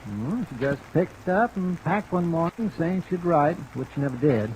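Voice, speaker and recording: Radio-drama rustic innkeeper type acted by a man: highish voice, dry and opinionated, faint rain over an old recording. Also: lively intonation, normal speed, darkish tone, a little hiss or hum, close to an everyday voice, rough recording. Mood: dry, grumbling. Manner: dry, countrified, clipped and opinionated. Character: plain-spoken, folksy rural New England convalescent home keeper.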